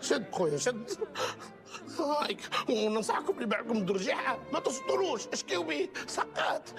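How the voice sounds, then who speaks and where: A man voicing an excitable, stingy working-class Tunisian husband in a cartoon comedy, stammering, repeating words and wheedling. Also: extremely high pitch, brisk, creaky, over music, heavily acted. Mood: sheepish, pleading. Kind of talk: animated sitcom dialogue.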